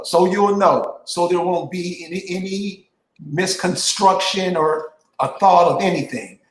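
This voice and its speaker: Blunt high-voiced man in an echoey room. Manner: blunt, swears freely, repeats himself. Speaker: host. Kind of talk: casual interview chat.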